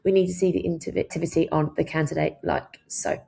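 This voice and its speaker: A woman with a fairly low voice and a noticeably creaky, fried edge works as a software trainer. She narrates a tutorial in an instructional manner, explaining one step at a time and dropping in informal asides. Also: fairly even intonation, fast.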